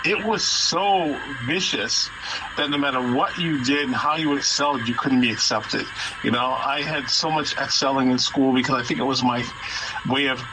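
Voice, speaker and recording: A man in his sixties from Massachusetts speaks as a radio guest. He tells long personal stories, halting and repeating his words as he goes. His voice sits at an ordinary pitch, and clear background noise runs under the broadcast. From Massachusetts, USA. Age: 60s. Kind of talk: casual radio interview storytelling.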